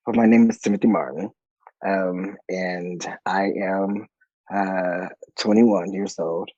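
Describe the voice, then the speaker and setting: A man's voice: low-pitched, little pitch movement, slow, warm tone, dry recording, highs cut off. A man in his forties from Monterey, California, a choir director talking as a podcast guest, playful and laughing often between ums. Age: 40s. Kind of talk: casual interview chat.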